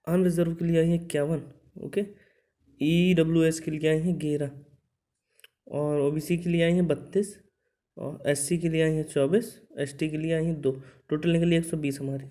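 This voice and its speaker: Mellow, higher-pitched man explaining like a tutor. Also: fairly even intonation, normal speed. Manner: conversational, checks in with repeated short tag words. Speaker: exam coaching instructor and channel host. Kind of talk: informal explanatory lesson, reading out a recruitment notice.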